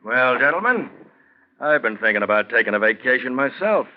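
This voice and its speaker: A man's voice: mid-range voice, very animated, fairly quick, close to an everyday voice. Man playing a sly, sardonic police sergeant. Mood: sly, toying. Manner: dry, mock-polite, probing. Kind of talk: 1940s radio crime drama acting. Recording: muffled 1940s radio recording.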